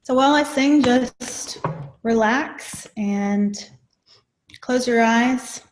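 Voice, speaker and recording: A woman in her forties speaks into a computer mic as she opens an online spiritual session. Her voice sits a little high, and she talks very slowly. She guides listeners with soft, halting reassurance. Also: a little hiss or hum, poor audio. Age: 40s. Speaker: spiritual channeler hosting a webinar. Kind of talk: introduction to a webinar.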